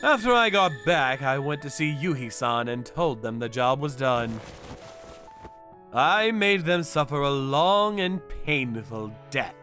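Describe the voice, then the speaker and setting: Man voicing a bright, forceful, ruthless underworld avenger. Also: medium pitch, sing-song, a little slow. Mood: grim narration. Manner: menacing, theatrical. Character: ruthless male underworld avenger narrating his torture jobs. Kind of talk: dramatic manga dub narration and dialogue. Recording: background music under voice.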